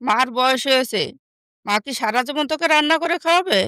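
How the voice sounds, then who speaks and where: A woman acts out a scheming, bossy young sister in an animated moral story. The voice is high and clear, with a dry recording. She scolds and belittles in a spiteful, scornful way. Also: a little fast, dry recording.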